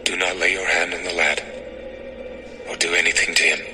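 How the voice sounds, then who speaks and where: A man's voice: low voice, level tone, moderate pace, close to an everyday voice. A man playing a solemn, authoritative angel in a dramatized scripture recording, proclaiming in a stately manner. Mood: urgent command. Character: angel of the Lord, solemn authoritative male messenger. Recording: music under the voice.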